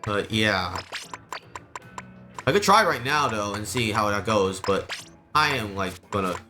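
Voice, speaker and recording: A man's voice: on the low side, expressive, a little slow, music in the background. A man live streaming a game, chatting casually with viewers in an informal, rambling, exclamatory way.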